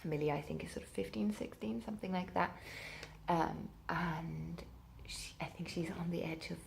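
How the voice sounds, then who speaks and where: A woman's voice: on the low side, moderate pace, gravelly, slight background noise. A woman talking casually and informally as a book vlogger, thinking aloud as she goes. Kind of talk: casual spoken reading vlog.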